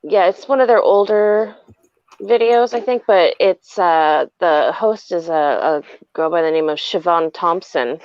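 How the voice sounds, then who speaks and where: A woman's voice: lowish voice, a little slow, a little mellow, clean tone, no echo, highs cut off. A woman talking casually on a livestream video call, rambling with fillers. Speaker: guest on livestream.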